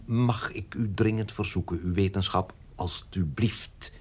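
An actor playing a discreet, obsequious, evasive notary in a radio drama, polite and very formal. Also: male voice, deep voice, measured pace, darkish tone, slightly rough. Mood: evasive, deferential. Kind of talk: Dutch radio drama acting.

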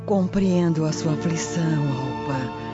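Slow, confiding Roman gossip. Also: female voice, deep voice. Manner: hesitant, confiding. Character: gossipy, confiding Roman noblewoman, sympathetic friend. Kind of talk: Brazilian radio drama acting. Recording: muffled radio drama with music.

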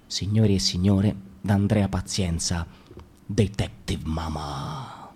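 A man reading a literary text as radio theatre, dramatic and shifting between calm and agitated. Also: deep voice, slow pace, slight background noise.

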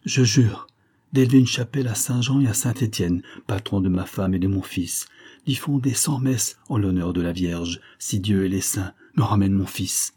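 A man's voice: on the low side, normal speed, darkish tone, slightly rough. A man reading aloud plays a grieving old nobleman, a father who cries out and begs tearfully. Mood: solemn vow.

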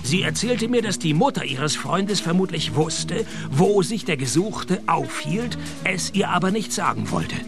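An actor playing a grumpy, world-weary private detective in a comic radio play, with dry, sarcastic wit. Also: male voice, high voice, sing-song, a little slow, vocal fry, over music, full character voice. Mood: wry narration. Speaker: detective narrator. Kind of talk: comic radio play acting and first-person noir narration.

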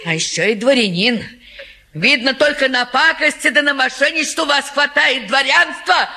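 A woman's voice: sits high, normal speed, bright, forceful tone. An actress playing a scandalised, scolding aunt in her fifties in a classic Russian stage comedy, with theatrical, reproachful, folksy speech. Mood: outraged scolding.

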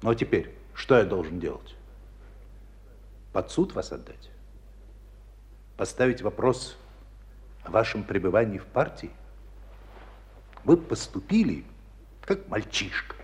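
Radio drama casting: commanding army school chief, low voice, stern, exasperated but fair. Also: male voice, sing-song, a little slow, long pauses. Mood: stern, reproachful. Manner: commanding, reproachful, emphatic. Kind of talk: Russian radio play acting.

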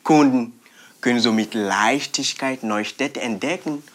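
Medium-pitched man in mock product-pitch mode. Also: a little slow.

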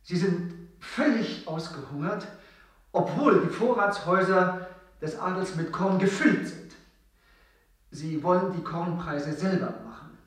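A man's voice: sits high, unhurried. A man acting in a radio play as a nervous, eager, self-absorbed actor, hesitant and apologetic. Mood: sheepish, explaining. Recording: very echoey, distant from mic.

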